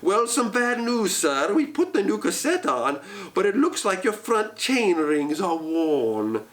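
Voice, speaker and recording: A man's voice: high-pitched, sing-song, measured pace, a bit bright, heavily acted. Man putting on a breezy bike shop tech, casual, a little upbeat. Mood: offhand. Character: offhand bike shop mechanic, young man.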